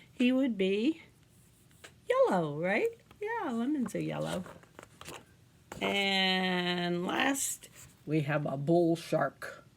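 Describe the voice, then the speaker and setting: Animated woman leading children's story time. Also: mid-range voice, gravelly, a little hiss or hum. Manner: simple, expressive, addresses children with questions and tag questions. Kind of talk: read-aloud story time for young children, asking questions.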